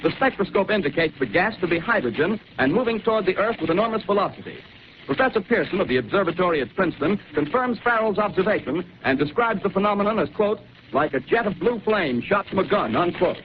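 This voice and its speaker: A man announcing in a brisk, formal 1930s radio style. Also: high-pitched, animated. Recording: dull noisy 1930s radio recording.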